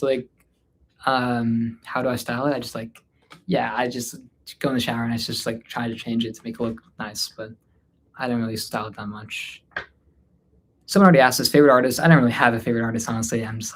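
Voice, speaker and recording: A man from North India hosts an unscripted livestream, fielding questions in a casual, mid-pitched voice. He sounds tired, speaking informally and hesitantly, and his sentences often trail off. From North India, Delhi area. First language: Hindi, mixed with English. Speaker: livestream host answering viewer questions.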